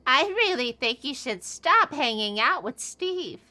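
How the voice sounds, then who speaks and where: A woman's voice: high voice, sing-song, a little slow, pushed and bright, exaggerated voice. An actress playing a blunt, cranky, vengeful old witch in a comedy horror radio play. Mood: softening. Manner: blunt, dramatic, scolding. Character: cranky old witch woman, vengeful, foul-mouthed homeowner.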